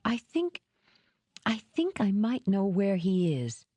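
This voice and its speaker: A woman's voice: mid-range voice, very animated, slow pace, dark tone, slightly rough, no echo, pitched above the performer's own voice, exaggerated voice. Woman reader as an anxious, hesitant young woman. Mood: fearful, faltering.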